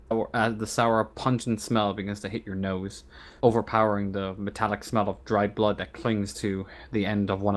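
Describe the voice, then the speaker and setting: A man running a tabletop roleplaying game as game master, describing scenes and rules in a halting way, stumbling over words. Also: fairly low pitch. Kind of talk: improvised tabletop game narration and rules talk.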